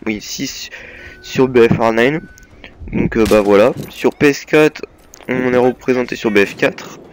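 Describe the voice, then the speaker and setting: A man's voice: mid-range voice, unhurried, slight background noise. A young man with a slight cold, giving a hesitant, filler-heavy voice-over that presents his gaming team. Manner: hesitant, many fillers and restarts. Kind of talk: casual voice-over over gameplay.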